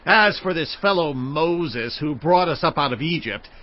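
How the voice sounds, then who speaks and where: Demanding man of the Israelite crowd played by a male actor, animated and high, declaiming, dull sound. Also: unhurried. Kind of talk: dramatized scripture reading. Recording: dull band-limited sound.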